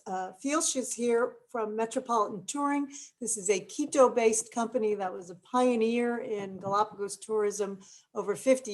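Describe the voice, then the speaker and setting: A woman's voice: mid-range voice, darkish tone. A woman moderates an online travel webinar on a video call. She introduces the speakers and often stumbles over and repeats her words. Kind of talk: hosting a webinar.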